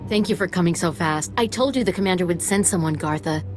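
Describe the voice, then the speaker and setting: A woman acts a game researcher who sounds earnest and imploring. Her voice is a little low. Some music accompanies it. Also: fast, close to an everyday voice. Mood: pleading, grateful. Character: earnest, pleading female archaeological salvage researcher. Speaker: voice actor in a video game. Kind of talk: scripted game cutscene dialogue.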